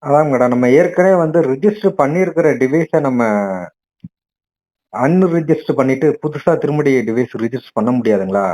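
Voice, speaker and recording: A man's voice: fairly high pitch, expressive, moderate pace, mellow. A man with a Tamil accent, a customer politely and persistently describing a problem on a phone call. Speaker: customer calling support.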